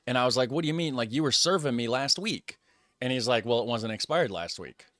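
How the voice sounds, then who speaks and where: A man's voice: mid-range voice, animated, fairly quick, fairly bright tone, no room sound, clean studio sound. A man from the United States hosting a reaction video and telling an exasperated story in casual talk.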